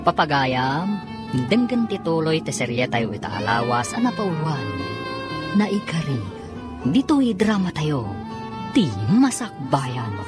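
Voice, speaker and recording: Animated declamatory male radio drama announcer. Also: on the high side, takes it slowly. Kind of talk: dramatic scripted narration with programme credits. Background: instrumental music.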